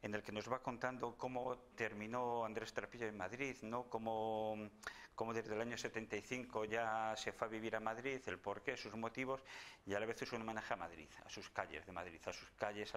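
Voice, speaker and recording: A middle-aged man with a fairly low voice recommends books in casual chat, his thoughts unfolding slowly. He often restarts phrases and repeats words before going on. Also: a bit bright. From Spain. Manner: halting, repeats words while thinking. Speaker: bookseller guest.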